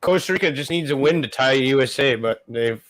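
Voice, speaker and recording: Blunt swearing Canadian co-host. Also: male voice, mid-range voice, a little fast. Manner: blunt, swears freely, short replies. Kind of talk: casual sports chat.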